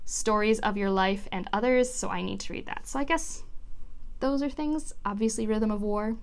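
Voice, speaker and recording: A woman's voice: medium pitch, normal speed. A woman in her twenties chatting casually to viewers as a book vlogger, rambling informally and thinking aloud. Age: 20s. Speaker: book reviewer and video host. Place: small furnished room at home, indoors.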